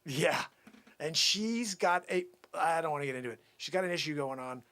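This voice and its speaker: A man acting a pushy, bragging, sleazy cold-call salesman, improvising comic patter in a husky, strained voice that is brash, wheedling and repetitive. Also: a little high, expressive, normal speed. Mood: smooth, confiding. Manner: brash, wheedling, repetitive, swinging between whispers and yells.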